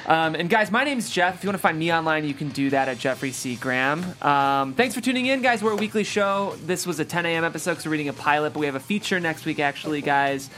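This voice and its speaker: A man's voice: on the high side, animated, fast talker, hardly any pauses, pushed and bright, soft background music. A man hosting a script-reading show, interviewing guests in a casual podcast style. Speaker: host of a script table-read show.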